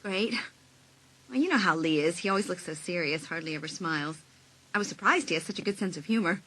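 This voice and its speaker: A woman's voice: fairly low pitch, fast, fairly bright tone, slight background noise, lightly acted, rough recording. A young woman playing an anxious, frightened teenage girl who keeps a secret, in a dramatised audiobook. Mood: worried. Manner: breathy, often dropping to urgent whispers. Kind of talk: dramatised audiobook acting with inner monologue.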